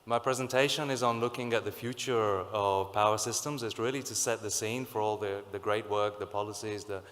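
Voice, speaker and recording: A man with a sore throat giving a technical conference presentation, speaking in a halting way with many fillers and restarts. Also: on the low side, steady tone, fairly bright tone. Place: conference hall. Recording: reverberant hall.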